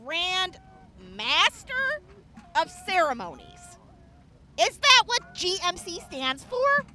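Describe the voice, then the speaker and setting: A woman's voice: very high voice, big pitch swings, slow, bright, forceful tone, heavily acted. A woman playing a perky, sassy young girl medic in a post-apocalyptic radio drama, with a sing-song delivery. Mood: teasing. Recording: music playing behind the voice.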